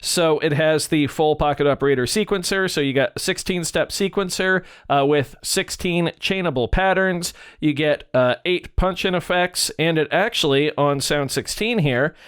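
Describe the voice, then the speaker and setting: A man's voice: a little high, moderate pace, hardly any pauses, fairly bright tone, clear, resonant tone. A man presenting music gear in a casual, conversational and explanatory way. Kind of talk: casual product comparison talk.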